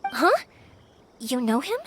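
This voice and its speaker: An actress playing a cheerful young fireworks maker in a game, friendly and eager. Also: female voice, high voice, very animated, slow, background noise, exaggerated voice.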